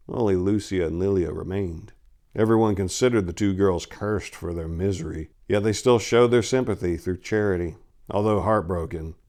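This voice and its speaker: A male storyteller with a fairly low voice reads dark fantasy prose. His delivery is grave and theatrical, as in a dramatic audiobook reading. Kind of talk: dramatic scripted fantasy narration.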